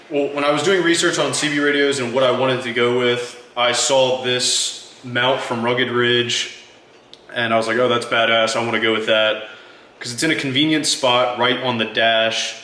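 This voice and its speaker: A man hosting a casual how-to vlog, showing off parts one at a time in a relaxed way. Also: mid-range voice, echoey room. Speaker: channel host. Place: garage.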